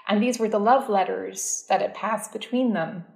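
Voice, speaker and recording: A woman in a casual conversation, telling animated stories about her work as a dance archive curator. Her voice sits in a middle pitch, and the recording carries a roomy echo. She laughs easily and often restarts her sentences as she talks. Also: moderate pace, vocal fry.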